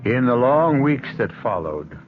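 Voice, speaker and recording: A man hosting and narrating a radio drama in a grave, measured, theatrical style. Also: medium pitch, big pitch swings, soft background music. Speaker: host and narrator. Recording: dull 1970s radio broadcast.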